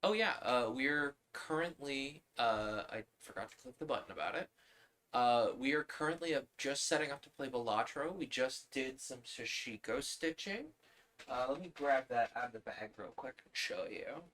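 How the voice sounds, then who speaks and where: A man's voice: medium pitch, animated, moderate pace, a bit bright. A man hosting a livestream, chatting casually and rambling as he talks to viewers and himself. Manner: rambling, talking to chat and himself. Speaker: livestream host.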